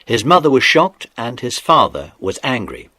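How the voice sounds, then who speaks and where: A man narrating a dramatised children's audiobook in an expressive, animated storytelling manner. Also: medium pitch, normal speed, no echo. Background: music.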